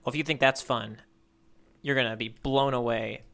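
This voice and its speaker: A man's voice: mid-range voice, steady tone, normal speed, creaky, fairly bright tone. A man co-hosting a casual podcast about manga, chatting in a playful, bantering way. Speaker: podcast co-host.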